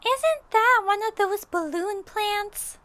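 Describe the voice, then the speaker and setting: A woman's voice: takes it slowly, a bit bright, full character voice. A woman voicing a tiny, childlike, chatty fairy companion in a fan audio roleplay. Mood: eager. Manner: refers to self in third person, squeaky and childlike.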